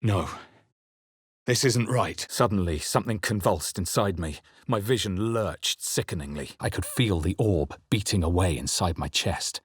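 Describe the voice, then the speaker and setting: A man playing a grave, introspective adventurer hero in a fantasy video game, speaking in a measured, dramatic way. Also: sits low, husky, no echo, studio quality. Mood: grim, wary. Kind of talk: scripted video game narration and character lines.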